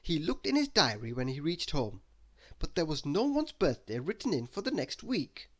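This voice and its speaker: A man reading a children's story aloud as narrator, expressive and deliberate with dramatic emphasis. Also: a little high. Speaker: storybook reader.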